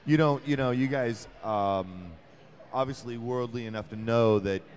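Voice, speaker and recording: A man's voice: mid-range voice, unhurried, darkish tone. A man co-hosting a talk show, asking long, rambling questions in a chatty way. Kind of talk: casual interview talk.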